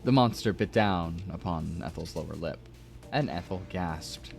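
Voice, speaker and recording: Guy with a lowish voice reading a story dramatically, measured, expressive, bit of music behind. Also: vocal fry. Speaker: narrator and host of a story stream. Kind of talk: dramatic reading of prose fiction.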